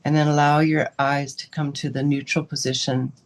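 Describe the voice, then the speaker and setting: A woman guiding a meditation as a teacher, giving unscripted gentle instructions in short, unhurried phrases with pauses between them. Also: low voice, level tone, low-quality recording. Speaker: meditation and yoga teacher leading a guided session.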